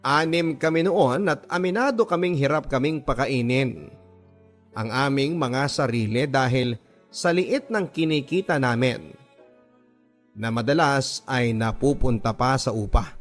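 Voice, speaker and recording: Man acting as gentle young worker, mid-pitched voice, earnest first-person radio drama storytelling, measured delivery, dramatic pauses, faint music behind. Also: close to an everyday voice. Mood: wistful, earnest. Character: gentle, earnest 24-year-old male grocery worker recounting his life.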